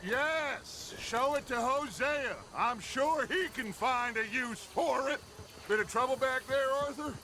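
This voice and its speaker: An actor playing a theatrical, boastful middle-aged American outlaw gang leader in a western game. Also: male voice, extremely high pitch, takes it slowly, bright, forceful tone, slight background noise, heavily acted. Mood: pleased, scheming. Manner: declamatory, theatrical, playfully boastful. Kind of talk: cinematic western game acting.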